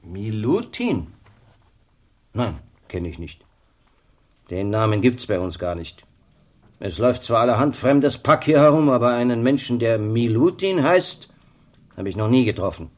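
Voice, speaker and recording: Village gendarme, radio play: mid-pitched rasp, blunt, grumbling, disdainful of strangers. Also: male voice, big pitch swings, unhurried, long pauses. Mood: blunt, dismissive. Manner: brusque, colloquial, grumbling. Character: gruff, blunt former village policeman, disdainful of outsiders. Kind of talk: German radio drama acting.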